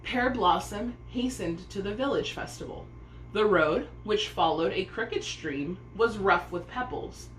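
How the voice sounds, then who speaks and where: A woman's voice: medium pitch, a little slow. A woman reading a children's story aloud, narrating expressively in a room with echo and faint noise. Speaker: storybook reader.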